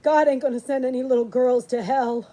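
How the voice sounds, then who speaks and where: A woman's voice: high-pitched, fairly even intonation, fairly quick, dark tone, natural voice. Woman doing a blunt, tender country dad. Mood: firm reassurance. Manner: blunt, folksy, comforting. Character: gruff but tender rural father.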